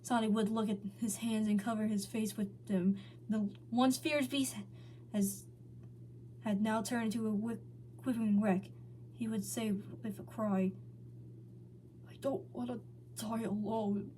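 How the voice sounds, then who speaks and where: High-voiced woman, theatrical amateur storyteller. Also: steady tone, unhurried, dark tone, a little hiss or hum, low-quality recording. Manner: theatrical, stumbles over words. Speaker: story reader, channel host. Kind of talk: dramatic fan fiction reading with casual chat to viewers.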